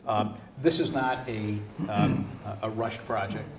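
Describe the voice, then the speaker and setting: A man giving a deliberate, halting answer as a council candidate in an echoey room. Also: on the low side, fairly even intonation. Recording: echoey room, distant mic, noise.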